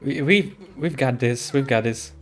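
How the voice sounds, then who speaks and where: Playful game streamer, medium pitch. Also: measured pace. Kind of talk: casual gameplay reaction commentary.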